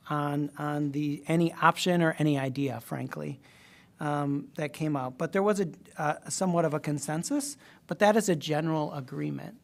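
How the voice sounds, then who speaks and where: A man's voice: a little high, gravelly. A man facilitating a community group, introducing its presentation to a school board in a chatty, emphatic, hedging way. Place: public meeting room.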